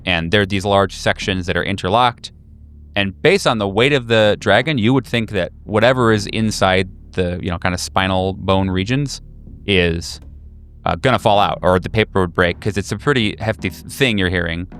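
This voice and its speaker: A man working as game master, improvising tabletop horror narration in a halting, conversational, self-correcting way. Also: low voice, a bit bright, clear, resonant tone.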